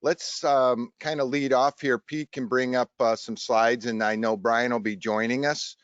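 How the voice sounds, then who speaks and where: Man hosting, halting, dull dry sound. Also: mid-range voice, steady tone, dry recording. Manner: halting, rambling delivery. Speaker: host of a show tech webinar. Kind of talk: casual tech discussion. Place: online video call. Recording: dull, lo-fi online stream audio.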